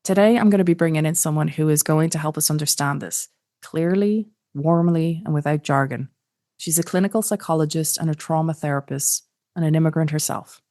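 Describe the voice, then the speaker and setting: A woman hosting an interview podcast, speaking in measured, emphatic phrases. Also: deep voice, warm tone. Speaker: podcast host. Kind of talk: scripted introduction and interview.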